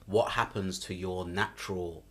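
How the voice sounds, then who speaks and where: A man in his thirties hosts a studio podcast, his voice low and deep through a boom mic. He asks questions in a friendly, teasing way, full of energy. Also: little pitch movement, moderate pace, a bit bright, slightly rough. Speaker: podcast host. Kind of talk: casual podcast interview. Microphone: studio mic on boom arm.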